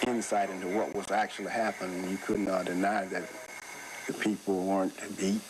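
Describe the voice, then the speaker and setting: An older man, reminiscing in a measured, halting way in a documentary interview. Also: low-pitched, flat delivery. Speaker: interview subject, former teacher. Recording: clearly noisy background.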